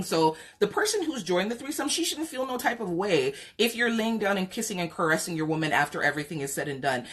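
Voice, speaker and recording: A woman's voice: on the low side, a bit bright, husky. A woman with a Jamaican accent hosting a live podcast chat, giving frank, opinionated advice with lots of fillers. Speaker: podcast host. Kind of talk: live chat talk show, reading viewer comments.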